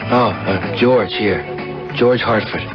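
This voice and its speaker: An actor playing a troubled, defensive young man in a 1970s radio drama, excitable and insistent. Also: fairly low pitch, slow pace. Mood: weary. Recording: dull vintage radio broadcast with loud music.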